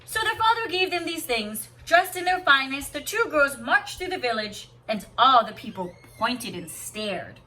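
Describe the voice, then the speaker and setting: A woman with a high, bright voice reads a children's story like a teacher. Her delivery is dramatic and very animated, with simple explanatory asides, and she sounds slightly away from the microphone. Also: normal speed, few pauses. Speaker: kindergarten teacher reading aloud. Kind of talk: expressive storybook read-aloud with simple explanations. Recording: voice somewhat distant from the mic.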